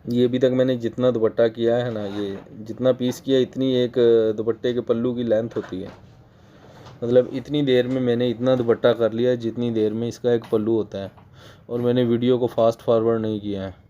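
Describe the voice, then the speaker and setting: A man from Pakistan with a Pakistani Urdu accent, hoarse from a cold, patiently explaining sewing machine work in a casual tutorial. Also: mid-range voice, level tone, a little fast, mellow, slight background noise. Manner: patient, repeats points for emphasis; clears his throat often. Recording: dull lo-fi recording.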